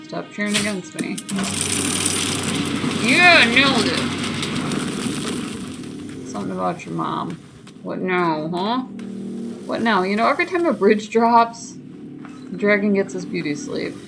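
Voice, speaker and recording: A woman's voice: mid-range voice, animated, measured pace, creaky, long pauses, heavy background noise. A woman hosting a gaming channel, giving casual, playful and exclamatory commentary as she plays, with game music behind her.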